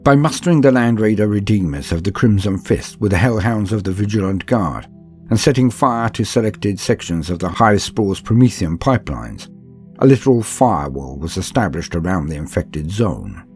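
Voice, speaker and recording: A man reading scripted narration like an audiobook, in a calm, steady, measured manner. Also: fairly low pitch. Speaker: narrator reading a campaign book. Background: faint background music.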